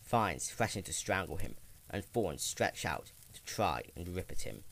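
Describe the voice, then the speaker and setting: Male reader with a low, gravelly voice and lively pitch; dramatic storybook narration. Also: unhurried. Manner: expressive storytelling with slips and restarts. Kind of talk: dramatic reading of a fan story.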